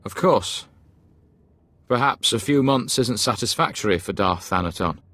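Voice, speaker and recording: An actor playing a cautious, deferential imperial officer in a video game, formal and obsequious. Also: male voice, fairly low pitch, expressive, normal speed, a bit bright, no room sound. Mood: deferential, wary. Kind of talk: game voice acting.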